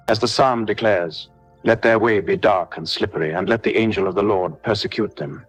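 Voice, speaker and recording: Male prayer leader, deep register, solemn and declarative, measured phrasing for scripted spiritual warfare prayer, music underneath. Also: no echo, rough recording.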